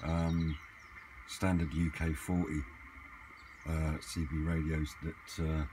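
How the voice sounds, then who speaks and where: Low-voiced man, noisy recording. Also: completely flat delivery, slow. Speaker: hobbyist vlogger. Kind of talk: casual chat, off-the-cuff equipment vlog. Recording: clearly noisy amateur camera recording.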